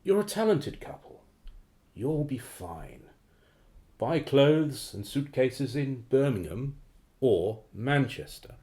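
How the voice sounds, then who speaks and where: A man playing a cool, calculating operative in a radio play, measured, formal and businesslike. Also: medium pitch, big pitch swings, warm tone. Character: cool, calculating male operative planning to retire.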